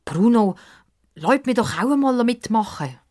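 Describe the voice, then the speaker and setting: A woman's voice: fairly high pitch, animated, measured pace, darkish tone, voice pushed up. A woman voicing a small, plaintive girl who feels left out, in a children's story. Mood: pleading. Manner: pleading, plaintive. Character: small girl, pleading, hurt, left out by boys.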